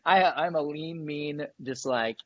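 A man in his thirties from San Diego, a team leader who explains his business in a brisk, matter-of-fact way over a video call from his dining room. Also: a little high, dull audio. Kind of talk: casual video-call interview. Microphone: earbuds on video call.

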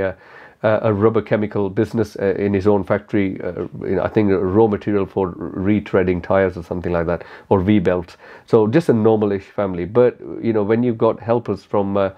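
A man in his forties with an Indian English accent, speaking candidly to camera in a casual question-and-answer video, halting with many fillers. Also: fairly low pitch. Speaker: motorcycle YouTuber. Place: small cozy room indoors. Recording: slightly dull lo-fi audio.

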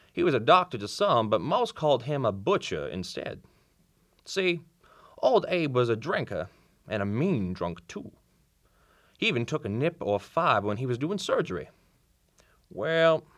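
An actor playing a kindly old small-town Southern country doctor in a radio play, with a folksy, drawling storyteller's manner. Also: male voice, medium pitch, a bit bright, no room sound, clean studio sound. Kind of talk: old-time radio drama acting. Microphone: shared vintage-style studio microphone.

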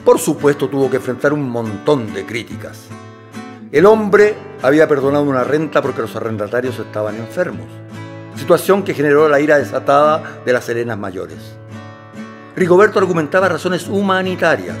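An actor playing the wry storyteller who narrates a domestic comedy as a scripted radio drama. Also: male voice, on the high side, lively intonation, normal speed, lightly acted. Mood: wry storytelling. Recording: guitar music behind the voice.

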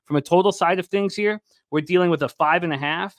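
Male sports betting host, higher register, conversational delivery, very dry close-mic sound. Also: a little fast, dry recording. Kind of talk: casual sports betting talk. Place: indoor studio setup at a desk. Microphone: large desk microphone close to the mouth.

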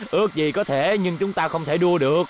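Gentle young racer robot acted by a man. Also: a little high, normal speed, background noise, close to an everyday voice. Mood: rueful, explaining. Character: friendly, troubled young male racing robot. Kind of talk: cartoon dubbing.

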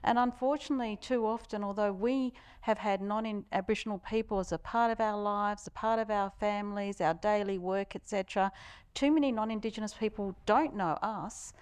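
An older woman with an Australian accent speaking on a panel, earnest and halting, with many fillers. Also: mid-range voice, darkish tone, smooth. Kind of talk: panel discussion.

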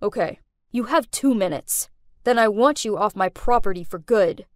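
A woman voicing a guarded young man in a dramatic story, gruff and curt. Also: on the high side, very animated, measured pace, a bit bright, dry recording, clean studio sound.

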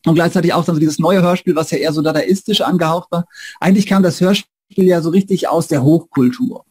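Slightly husky, higher-pitched male interviewer. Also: fast, darkish tone, no echo, clean studio sound. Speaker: interviewer, host. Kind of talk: conversational academic interview. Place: indoor room with bookshelves, on a video call.

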